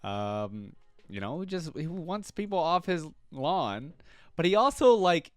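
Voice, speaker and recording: A man hosts a casual reaction video, amused and conversational. His pitch moves around a lot, and he is recorded dry over music. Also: clean tone, dry recording. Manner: amused, repeats lines he likes.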